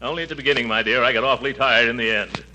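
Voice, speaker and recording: A man's voice: mid-range voice, racing, pushed and bright, slight background noise, rough recording. An actor playing a pompous, blustering middle-aged uncle and former army major in a 1940s radio comedy, stammering and hedging as he goes. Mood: flustered, boastful.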